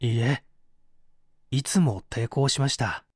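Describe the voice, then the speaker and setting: A man's voice: mid-range voice, takes it slowly, slightly rough, dry recording, studio quality. A man reading dramatically as a bitter, despairing painter who gives testimony in a formal, confessional way. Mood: defensive. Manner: confessional, expressive, formal narrative delivery.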